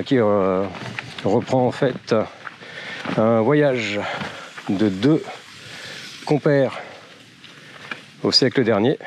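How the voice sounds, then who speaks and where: A man's voice: lowish voice, noisy background. A man with a slight cold, narrating a casual walking vlog on forest trails, chatty and rambling with many fillers and wry jokes. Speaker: vlogger walking with his dog.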